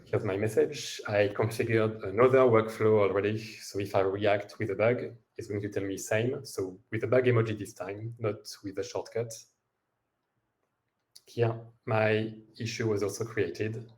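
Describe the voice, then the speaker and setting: A man giving a software demo over a video call, with a hesitant manner and frequent fillers. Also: fairly low pitch, steady tone, normal speed, rough recording. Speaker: guest speaker.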